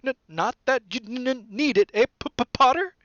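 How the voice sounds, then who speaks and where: A man's voice: very high voice, animated, moderate pace, pushed and bright, dry recording, highs cut off, pitched above the performer's own voice, exaggerated voice. A man voicing a timid, pale young wizard professor who stammers heavily and mutters hesitantly in an audiobook story. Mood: anxious, stammering. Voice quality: heavy stammer on consonants.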